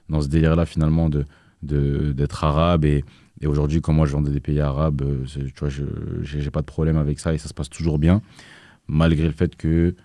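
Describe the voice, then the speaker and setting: Young male guest, very low flat voice, dark tone, halting delivery with lots of fillers. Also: dry recording, studio quality. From France. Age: young adult. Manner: hesitant, many fillers and restarts. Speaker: guest, activist. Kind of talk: casual interview conversation.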